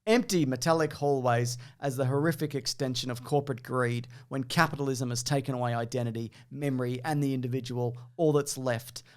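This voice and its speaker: A man's voice: medium pitch, fairly even intonation, brisk, hardly any pauses, darkish tone. An Australian man hosting a casual, comedic quiz in a teasing, banter-filled style. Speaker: podcast host, quizmaster.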